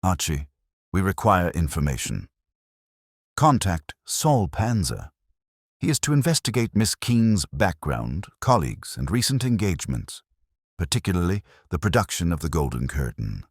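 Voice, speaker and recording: Man voicing an imperious, very deep-voiced genius detective. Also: sing-song, normal speed, husky, no echo, studio quality, full character voice. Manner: formal, commanding, ornate diction. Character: imperious, portly male genius detective, formal and pedantic.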